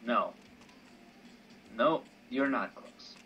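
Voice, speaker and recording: A man voicing a calm, dry-witted, worldly male companion in a visual novel, speaking in a measured, understated way. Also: medium pitch, muffled recording, slight background noise. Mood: bemused. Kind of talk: amateur visual novel voice acting. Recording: faint music under the voice. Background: faint music.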